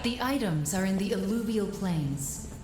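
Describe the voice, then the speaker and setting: An actress playing a calm, caring guiding spirit in a fantasy video game, composed and instructive, in an echoing voice. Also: female voice, medium pitch, a little slow, noisy background, lightly acted. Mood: composed briefing. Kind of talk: dramatic video game acting. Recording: echoing reverberant voice over music.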